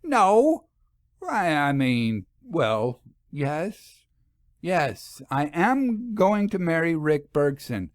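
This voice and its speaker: A man's voice: on the high side, sing-song, very slow, dry recording, clean studio sound, voice pushed up, exaggerated voice. A man voicing an earnest, anxious woman in an audiobook story, hesitant and stammering. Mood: anxious, flustered.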